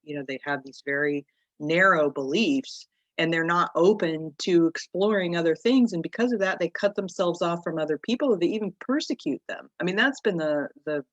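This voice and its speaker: Hoarse speaker on a dull, dry line. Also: medium pitch, normal speed, dry recording. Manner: rambling, self-correcting. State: losing voice. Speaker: book discussion group member. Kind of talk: casual group discussion.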